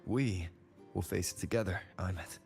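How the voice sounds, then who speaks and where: A man voicing a calm, caring, devoted young hero in a video game. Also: deep voice, normal speed, vocal fry. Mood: tender. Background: game music.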